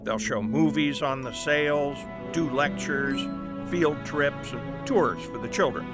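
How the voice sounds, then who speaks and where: Brisk persuasive editor boss in an audio drama, explains confidently, music playing behind him. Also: male voice, a little high. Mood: brisk enthusiasm. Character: brisk, pushy, persuasive male newspaper boss. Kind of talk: family audio drama acting.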